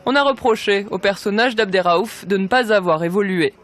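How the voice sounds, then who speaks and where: Female narrator, mid-pitched, for scripted documentary voice-over. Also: moderate pace. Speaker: narrator and reporter.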